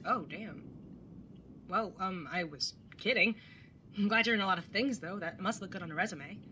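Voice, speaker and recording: A woman's voice: mid-range voice, normal speed, sharp tone, slight background noise, lightly acted. A woman playing a wry, jaded young artist bartender in an audio drama, sardonic and casually sweary as narrator and older sibling. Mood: awkward, friendly. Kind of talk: first-person audio drama narration and dialogue.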